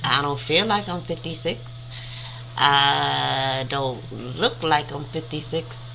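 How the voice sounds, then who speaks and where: A woman's voice: low-pitched, slow, gravelly, bright, forceful tone, a little hiss or hum, rough recording. A woman in her fifties hosting a casual livestream chat, rambling and chatty as she thanks viewers by name. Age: 50s. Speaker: livestream host.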